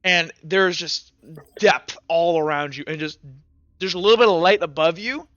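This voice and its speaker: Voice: mid-range voice, moderate pace, a bit bright, no echo. A game master narrating a tabletop roleplay session, describing scenes in long, trailing sentences full of fillers. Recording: dull lo-fi recording.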